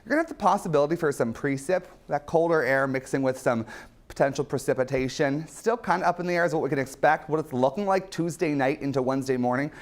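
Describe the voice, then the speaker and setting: A man's voice: fairly high pitch, fast talker. A man presenting a morning weather forecast on local TV, easygoing and chatty. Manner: easygoing, chatty, repeats "as we go through it". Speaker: meteorologist.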